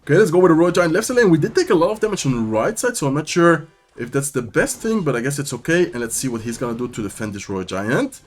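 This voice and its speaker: A man's voice: a little high, animated, fast, soft background music. A young man giving upbeat live commentary over a mobile strategy game, talking through each move while he says he is losing his voice. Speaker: gaming commentator.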